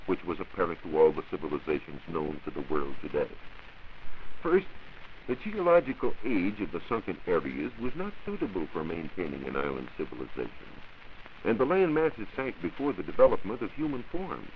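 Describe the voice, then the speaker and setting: Dull hissy 1940s radio recording, American man, low voice, formal science reporter, measured lecturing, very varied intonation. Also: huge pitch swings. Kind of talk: 1940s scripted educational radio talk. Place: radio studio.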